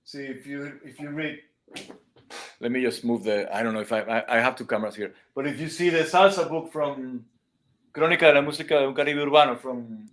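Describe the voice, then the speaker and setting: A man's voice: mid-range voice, brisk, fairly bright tone. Man explaining with restarts, non-native accent. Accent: non-native English speaker. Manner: explains with everyday comparisons, many restarts. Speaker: dance and music teacher; guest. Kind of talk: casual live-stream chat. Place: room at home with cameras.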